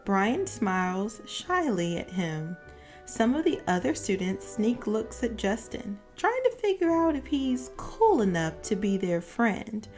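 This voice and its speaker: A woman narrating a children's picture book as a storyteller, with expressive, deliberate phrasing for young listeners. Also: fairly high pitch. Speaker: read-aloud storyteller.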